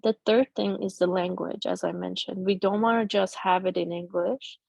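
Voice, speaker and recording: A middle-aged woman whose first language is Persian, casually walking through a software demo on a video call with many fillers and restarts. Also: mid-range voice, steady tone, moderate pace, vocal fry, dry recording. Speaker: presenter demoing a chatbot tool.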